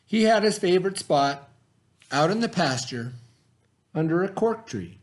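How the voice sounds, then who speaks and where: A man's voice: sits high, animated, unhurried. A man hosting a read-aloud, telling a children's story expressively with informal asides to his listeners.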